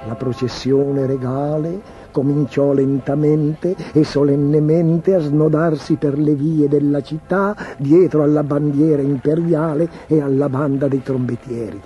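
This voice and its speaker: Very mellow, higher-voiced male storyteller. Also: steady tone, unhurried. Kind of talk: scripted children's fairy tale narration. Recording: dull, muffled lo-fi track. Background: music.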